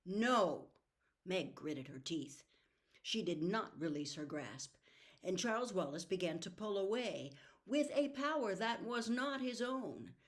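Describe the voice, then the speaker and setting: A woman narrating an audiobook as dramatic scripted storytelling, expressive and deliberate in her delivery. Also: mid-range voice.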